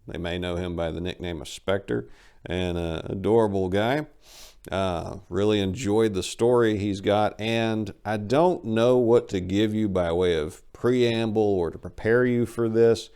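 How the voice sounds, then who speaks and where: A man speaking as a channel host and writer, introducing things to listeners in an unhurried, theatrical way. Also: fairly low pitch, darkish tone, clean tone.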